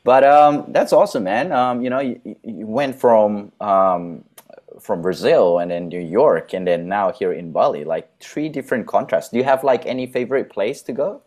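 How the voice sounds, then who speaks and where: A man hosts a podcast in an easygoing, conversational way. He asks his questions with plenty of "um" and "you know." His voice sits low. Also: normal speed, darkish tone. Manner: informal, filler-heavy questions. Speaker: podcast host. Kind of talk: casual interview hosting.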